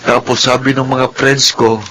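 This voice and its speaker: A man's voice: medium pitch, level tone, measured pace, pushed and bright, a little hiss or hum, low-quality recording. A man playing an easygoing, glad young student son in a Filipino radio drama.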